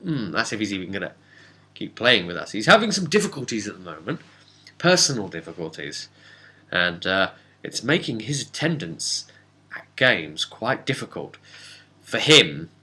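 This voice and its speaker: A man speaking as a game master, giving a casual, rambling recap of a role-playing session with dramatic pauses and asides. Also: on the low side, big pitch swings, sharp tone. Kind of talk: casual spoken recap and storytelling.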